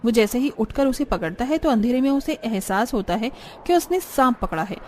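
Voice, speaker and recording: A woman's voice: a little high, animated, brisk, darkish tone, a little hiss or hum. A woman narrating a cartoon story in plain, scripted storytelling.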